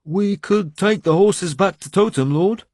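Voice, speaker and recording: A man's voice: high-pitched, unhurried, darkish tone, no echo, clean studio sound, pitched above the performer's own voice. A man voicing a respectful warrior who offers advice to his lord in an audiobook. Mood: cautious, suggesting. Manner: respectful, suggesting. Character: deferential male warrior advising his lord. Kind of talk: audiobook character acting.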